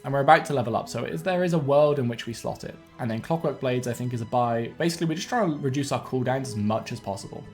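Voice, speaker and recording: A young man streaming a card game from home, thinking aloud in a relaxed way and correcting himself, sounding a bit sniffly and bunged up. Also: mid-range voice, quick pace, few pauses. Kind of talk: gameplay commentary. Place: home room with webcam. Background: faint game music.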